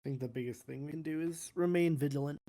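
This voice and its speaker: Very deep-voiced, mellow woman. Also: fast. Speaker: role-player in a court meeting. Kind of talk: in-character roleplay discussion.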